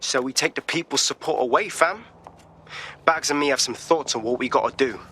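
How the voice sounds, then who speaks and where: Guy playing a street hacker, slangy, bright voice. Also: medium pitch, a little fast, slight background noise, lightly acted. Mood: blunt. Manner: blunt slangy talk. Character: streetwise male London hacker leader.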